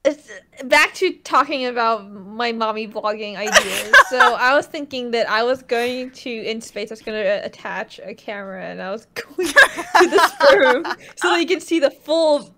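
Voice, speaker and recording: A woman improvising a giddy, besotted girlfriend vlogger for a comedic dub, rambling haltingly and giggling. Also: a little high, expressive, bright, forceful tone. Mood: musing. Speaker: fandub performer. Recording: noisy home mic with clicks.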